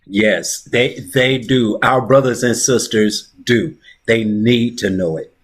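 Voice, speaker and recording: Male host, mid-pitched voice, slow, soft-spoken, halting starts. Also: husky. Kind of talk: conversational interview.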